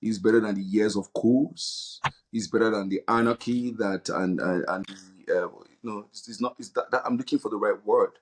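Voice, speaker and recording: A man's voice: lowish voice, steady tone, moderate pace, a little hiss or hum. A man with a Nigerian accent answering interview questions in an earnest, hesitant way, searching for words. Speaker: interview guest, non-profit leader.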